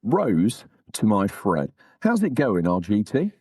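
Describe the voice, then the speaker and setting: A man hosting a gaming podcast, joking and teasing his guest in quick banter. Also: fairly low pitch, huge pitch swings, a little mellow, breathy edge. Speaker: podcast host. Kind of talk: casual chat.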